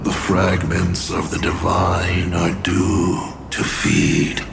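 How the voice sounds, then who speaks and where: Very slow, gravelly ancient villain. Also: male voice, low-pitched, flat delivery, background noise, exaggerated voice. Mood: proud, resigned. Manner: slow, grandiose, deliberate. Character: defeated male villain, ancient patient schemer, proud and theatrical. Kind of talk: dramatic video game voice acting. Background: music.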